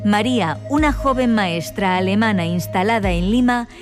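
A woman's voice: medium pitch, moderate pace, music in the background. A woman reading scripted narration to introduce a feature. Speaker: programme narrator.

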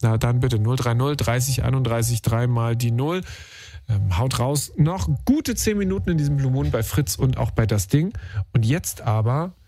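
A man hosting a late-night radio call-in show from a studio, bantering and teasing his callers in a playful, quick way. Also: medium pitch, lively intonation, dark tone, no echo. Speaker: radio host. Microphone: studio microphone.

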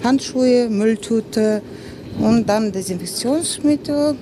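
Slow-speaking woman, non-native, train noise. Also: on the high side. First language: Romanian. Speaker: train cleaner. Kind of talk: interview clip.

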